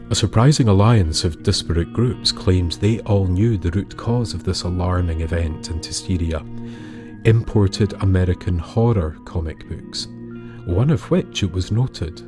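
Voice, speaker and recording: A man narrating a scripted tale in a measured way, with dramatic pauses. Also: low-pitched. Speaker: storyteller, podcast narrator. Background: music bed with guitar.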